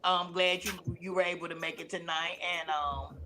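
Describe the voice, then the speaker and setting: A soft-spoken woman welcoming someone on a casual live-stream chat. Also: fairly low pitch, steady tone, normal speed, slight background noise.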